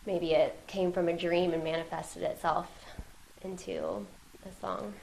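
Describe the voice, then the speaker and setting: A woman interviewer asking hesitant, gentle questions and murmuring encouragement. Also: on the low side, steady tone, unhurried, creaky, a little hiss or hum, poor audio.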